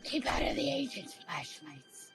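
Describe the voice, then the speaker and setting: Lady doing a creepy demon, low growly whisper, slow, game music behind. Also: big pitch swings. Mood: menacing.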